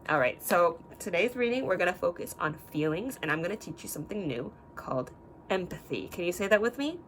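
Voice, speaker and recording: A woman teaching young children through an expressive read-aloud, prompting them with frequent simple questions. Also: fairly low pitch, brisk, a little hiss or hum, low-quality recording.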